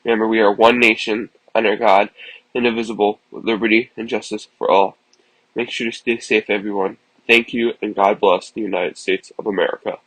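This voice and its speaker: A man playing a solemn US President giving a measured, halting, formal emergency address to the nation. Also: on the low side, level tone, a bit bright, slightly rough, rough recording. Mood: solemn, resolute.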